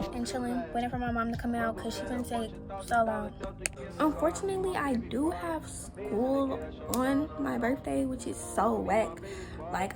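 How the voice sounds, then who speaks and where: Raspy teenage girl vlogging casually. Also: a little high. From Atlanta, USA. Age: teens. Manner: chatty, lots of "like" and "um". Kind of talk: casual vlog chat. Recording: loud background music.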